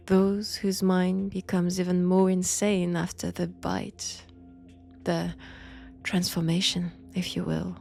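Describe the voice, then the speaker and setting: A woman playing a mad vampire in an intimate audio roleplay, with a French accent, seductive, menacing and mockingly tender, speaking in halting short phrases. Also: on the low side, gravelly, warm tone. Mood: brooding, unhinged. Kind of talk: slow intimate roleplay monologue.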